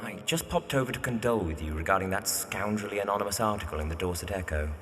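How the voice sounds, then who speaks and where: A man's voice: fairly low pitch, lively intonation, a bit bright. A man playing an earnest, lovestruck young architect in a period audio drama, formal and hesitant in manner. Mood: formal, sympathetic. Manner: hesitant, formal, halting when flustered.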